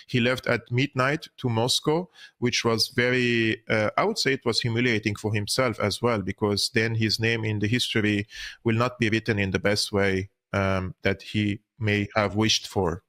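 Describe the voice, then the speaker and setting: A man in his thirties, born and raised in Syria, giving measured, earnest political commentary in a remote interview from a dark home studio on a studio mic. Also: medium pitch. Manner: earnest, measured, with frequent uh fillers. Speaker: political analyst guest. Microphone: studio mic on arm, headphones.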